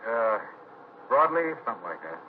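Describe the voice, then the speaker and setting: A man's voice: mid-range voice, very animated, moderate pace, lightly acted. A man playing a plain-spoken merchant ship captain in a 1950s radio drama, talking in a folksy, matter-of-fact way. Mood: doubtful, conversational. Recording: tinny, hissy old radio broadcast.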